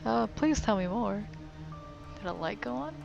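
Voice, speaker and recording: A woman's voice: mid-range voice, expressive, unhurried, vocal fry, highs cut off, over music. A woman casually commentating on a game as she plays, muttering wry reactions. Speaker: let's-play host.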